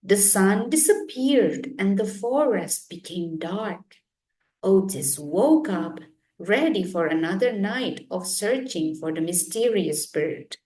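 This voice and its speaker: A woman narrates a children's story very slowly, with a lively range of pitch. Her tone is soft-edged and mellow. The sound is roomy and echoing. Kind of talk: expressive read-aloud narration. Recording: roomy echo, distant microphone.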